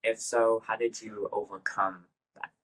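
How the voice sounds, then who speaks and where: A man's voice: fairly low pitch, level tone, unhurried, a bit bright, husky, rough recording. A man acting as interview host, putting prepared questions to a guest.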